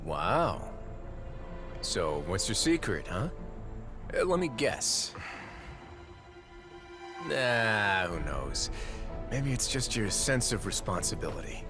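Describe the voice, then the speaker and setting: A man's voice: on the low side, big pitch swings, takes it slowly, pauses often. An actor voicing an easygoing adult male fighter who talks in a teasing, laid-back way in a game cutscene. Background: game music.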